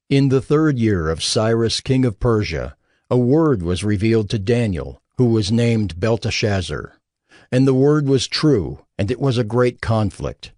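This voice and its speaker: A man narrating scripture from a script in a measured, formal way. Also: medium pitch, darkish tone, dry recording, studio quality.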